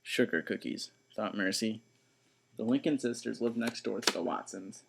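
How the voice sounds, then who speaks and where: A man reading a children's story aloud in expressive, scripted narration. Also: lowish voice, normal speed, creaky, noisy background.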